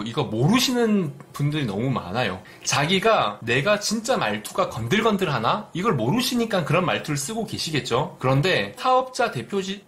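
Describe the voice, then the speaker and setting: A man's voice: on the high side, fairly bright tone. A middle-aged man from Busan with a light Busan accent, giving direct, earnest business advice in a vlog. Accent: light Busan dialect. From Busan, South Korea. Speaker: business YouTuber.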